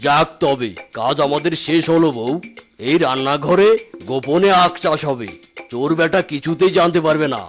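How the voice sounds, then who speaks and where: A man's voice: fairly high pitch, moderate pace, close to an everyday voice. A man voicing a scheming, indignant tiger farmer and husband in a children's cartoon, speaking emphatically. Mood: pleased, scheming. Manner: emphatic, vengeful, self-satisfied. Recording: music bed behind the voice.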